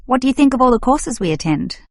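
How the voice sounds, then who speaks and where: Female student played by a woman, young, agreeable yet opinionated, measured scripted delivery, dry studio acoustic. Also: high-pitched, lively intonation, dry recording. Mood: agreeable, reasoning. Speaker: student in a listening test dialogue. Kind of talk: scripted exam dialogue acting.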